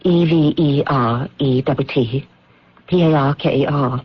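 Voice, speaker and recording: A woman's voice: low voice, a little slow, slightly rough, no room sound. An actress playing an anxious widow in a radio drama, earnest and hesitant as she speaks. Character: anxious British widow confessing a secret to officials. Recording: dull, muffled old radio broadcast.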